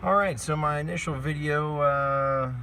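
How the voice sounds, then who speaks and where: A man's voice: a little high, unhurried. Guy chatting casually in his truck, kinda rambly, mic a bit far off, lots of vehicle noise. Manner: informal, rambling. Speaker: vlogger, truck driver. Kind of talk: casual vlog talk. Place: inside a truck. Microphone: handheld phone away from mouth. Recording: distant mic, noisy vehicle interior. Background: vehicle and ambient noise.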